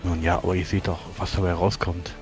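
A man playing a naive, well-meaning gentleman adventurer in a tabletop roleplay, with hesitant, prim and genteel phrasing. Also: low-pitched, little pitch movement, a little fast, close to an everyday voice. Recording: music behind.

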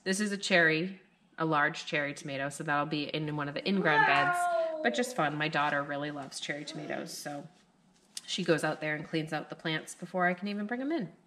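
A woman in her thirties with a low voice talks casually to a camera in her living room at night. She rambles along loosely and fills her pauses with "like" and "um" as she chats about her garden. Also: noisy background. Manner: rambling and chatty, with many fillers. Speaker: homestead gardening vlogger.

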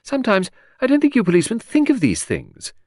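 A man's voice: very high-pitched, huge pitch swings, fast talker, darkish tone, dry recording, clean studio sound, pitched above the performer's own voice, exaggerated voice. A man voicing a vague, charming aristocratic older lady who talks in a breezy, scatterbrained gush. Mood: fretful.

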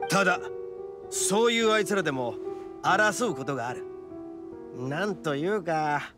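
Casting: boastful young oni, anime game. High-pitched, very animated, rough and cocky. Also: male voice. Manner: cocky, rough slangy speech. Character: brash, boastful young oni man, hot-headed but soft-hearted.

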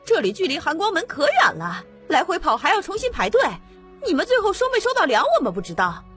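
A woman's voice: very high voice, wildly swooping pitch, fast, fairly bright tone, faint music behind, heavily acted. A woman voicing an agitated, complaining townswoman in an audiobook, insistent and exclamatory. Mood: exasperated.

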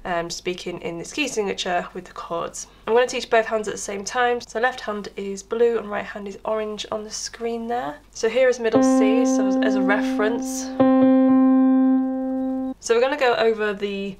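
A woman with a hoarse voice from being under the weather, chattily explaining a piano tutorial step by step at home, recorded on a crackling camera mic. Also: medium pitch, steady tone, moderate pace. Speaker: piano teacher. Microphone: camera microphone with crackle. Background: piano playing.

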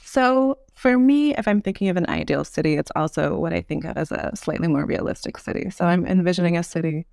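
Podcast guest: woman, thirties, noticeable vocal fry, articulate interview explanations, close dry sound. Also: fairly low pitch, a little fast, hardly any pauses, darkish tone, no echo. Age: 30s.

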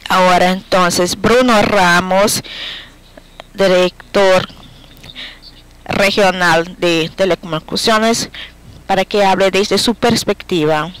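A man moderating a panel, making measured announcements into a desk microphone in a large conference hall. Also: high-pitched, steady tone, fairly bright tone, smooth. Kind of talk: event announcements.

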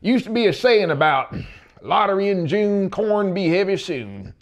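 A man's voice: high voice, big pitch swings, moderate pace, voice pushed up. Man acting cranky, petulant old country man. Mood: scornful. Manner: grumbling, folksy, scornful. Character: petulant, stubborn old small-town man, scornful of the young. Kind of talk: dramatic audiobook reading.

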